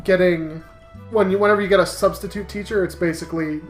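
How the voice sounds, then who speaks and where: A man co-hosting a comedic let's play, chatting casually in a goofy, excitable way and laughing as he talks. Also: high-pitched, quick pace. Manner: goofy, animated storyteller, laughs through speech and bursts into shouts. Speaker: co-host of a visual novel let's play. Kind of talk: casual comedic banter while reading a game aloud. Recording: roomy echo with music behind.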